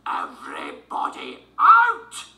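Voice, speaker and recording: A man playing a gruff, excitable old billionaire duck adventurer with a Scottish accent in a cartoon audio storybook. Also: deep voice, slow, reverberant, exaggerated voice. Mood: furious. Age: older.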